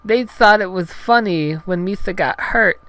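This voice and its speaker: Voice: medium pitch, unhurried, fairly bright tone, clean tone, pitched above the performer's own voice, close to an everyday voice, rough recording. A narrator voicing a clumsy, meek, eager male alien with childlike broken grammar in a parody story. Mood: sad.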